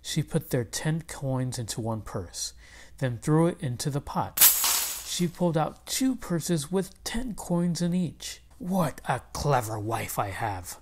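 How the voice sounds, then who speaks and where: A woman reading a children's picture book aloud as expressive narration. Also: low-pitched, slow pace, slight background noise. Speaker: storybook reader.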